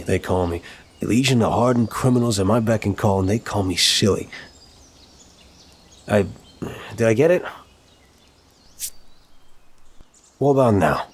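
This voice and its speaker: A man playing an apologetic, flirtatious mafia boss in an intimate ASMR roleplay, speaking in a courteous, teasing, slightly halting way. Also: fairly low pitch, husky. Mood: flustered, amused.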